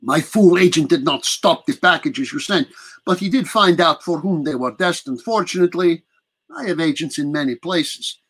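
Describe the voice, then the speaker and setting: A man voicing an aged aristocratic vampire prince who speaks slowly and commandingly. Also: fairly high pitch, fairly bright tone, husky. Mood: cold. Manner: slow, deliberate, commanding.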